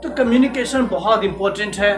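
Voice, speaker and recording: A man gives an English lesson in a classroom, slipping English phrases into Hindi and asking his students questions. His voice sits very high. The room is echoey, with faint music underneath. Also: fairly quick. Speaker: English teacher.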